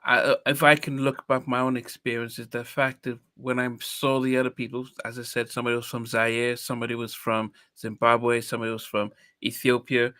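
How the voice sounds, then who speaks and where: A man's voice: medium pitch, level tone, a little fast, hardly any pauses, pushed and bright, no room sound, studio quality. A man in his fifties, from Nigeria, hosting a podcast in a reflective, hesitant way with many restarts. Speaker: podcast host. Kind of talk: casual interview chat.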